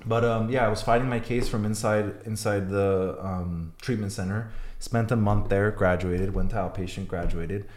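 A man's voice: fairly low pitch, fairly even intonation, normal speed, few pauses, a little mellow, reverberant. A young man, born in Iran, telling his story as a podcast guest, blunt and swearing freely. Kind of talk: podcast interview.

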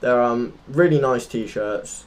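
A man's voice: medium pitch, takes it slowly. A man co-hosting a casual discussion of current affairs, speaking informally and tentatively, often correcting himself. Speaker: co-host. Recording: noticeable background noise.

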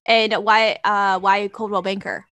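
A woman's voice: mid-range voice, level tone, measured pace, fairly bright tone. A young adult woman hosting an interview, asking prepared questions and often affirming with "absolutely". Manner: friendly, frequent "mm-hmm, absolutely". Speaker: interview host.

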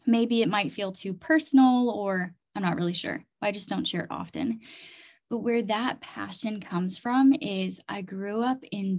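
A woman's voice: medium pitch, darkish tone. A woman from Thailand and North Dakota speaking as an interview guest, telling personal stories earnestly and emphatically, with lots of "like" and "literally". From Chiang Mai, Thailand and North Dakota. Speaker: interview guest, community development leader and leadership coach.

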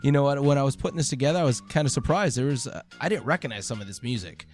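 A man hosting a podcast quiz, explaining casually and haltingly, correcting himself as he goes. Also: medium pitch, darkish tone, faint music behind.

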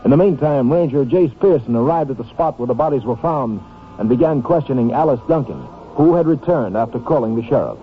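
A man's voice: medium pitch, expressive, a little fast, a little hiss or hum. A man with an American accent delivering scripted narration as announcer of an old radio drama. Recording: tinny old radio recording, faint music.

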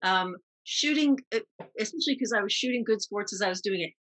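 A woman from New York with an American accent, speaking reflectively as an interview guest in quick, clipped phrases. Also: medium pitch, expressive, a bit bright, poor audio. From New York, United States. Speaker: guest, actress recalling TV roles.

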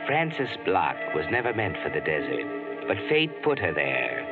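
An actor playing a mysterious male narrator who walks by night, with slow, ominous storytelling in 1940s radio narration. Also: rumbling low, wildly swooping pitch, heavily acted. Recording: tinny old radio broadcast with music.

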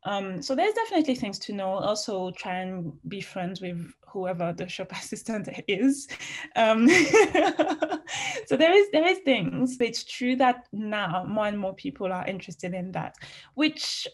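A woman's voice: mid-range voice, very animated, measured pace. A woman with a slight French accent mixed with British, answering interview questions in a chatty, frank way with many fillers. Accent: French, with some British. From France. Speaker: interview guest, fashion writer.

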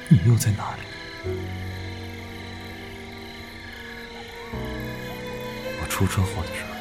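A man's voice: deep voice, natural voice. An actor playing a shocked, resolute man who wakes in a boy's body, talking to himself in a brooding audio drama monologue. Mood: anxious, resolved. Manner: brooding, dramatic self-talk.

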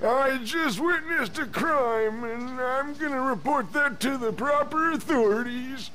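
Man playing a high-voiced cranky old man. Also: a little slow, sharp tone, slight background noise, exaggerated voice. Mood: indignant. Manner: grumbling, blunt. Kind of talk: horror comedy audio drama.

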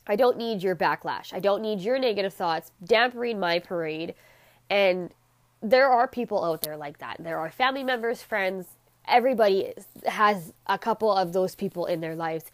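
A woman with a stuffy, congested nose and a slightly raspy voice, giving a chatty motivational monologue and acting out quoted people in animated voices. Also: medium pitch, normal speed, no room sound.

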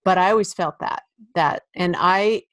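A soft-voiced woman hosting a podcast, gently asking probing interview questions. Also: lowish voice, normal speed, no echo, clean studio sound. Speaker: podcast host. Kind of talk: conversational interview.

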